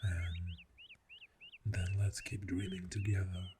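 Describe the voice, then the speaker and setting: A man playing a clingy, adoring boyfriend in a soft, breathy ASMR roleplay, murmuring tenderly and often dropping toward a whisper. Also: low voice, level tone, slow pace, noisy background. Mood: dreamy, loving. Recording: birdsong and nature sounds throughout. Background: birdsong, river and forest ambience.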